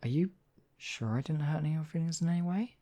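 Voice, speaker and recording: A man playing a demon king in an intimate ASMR roleplay, husky and theatrical, with deliberate phrasing and lingering pauses. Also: a little high, dark tone, close to an everyday voice. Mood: tender, concerned. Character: demon king, menacing captor act turning tender, devoted lover.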